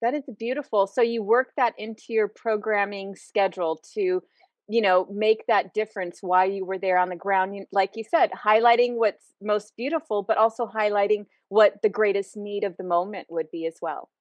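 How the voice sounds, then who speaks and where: A woman's voice: mid-range voice, fairly even intonation, moderate pace, no room sound, highs cut off. A woman hosting an interview, affirming and encouraging her guest and reflecting on what they say. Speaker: interview host. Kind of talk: interview conversation.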